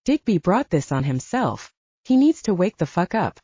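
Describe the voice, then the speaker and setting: A woman plays a hard male werewolf pack leader in an audiobook. He is cutting and unyielding, with a dark, husky tone. The recording sounds slightly dull and lo-fi. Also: fairly low pitch, big pitch swings, normal speed, no room sound. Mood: cold, dismissive. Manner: stern, cutting. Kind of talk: audiobook character dialogue.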